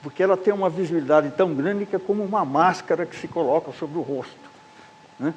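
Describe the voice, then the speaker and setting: A man's voice: on the high side, fast talker, dull audio, slight background noise. Hesitant Brazilian man, faint ambience. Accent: Brazilian Portuguese. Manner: thoughtful, hesitant, uses fillers. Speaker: panel guest. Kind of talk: debate answer.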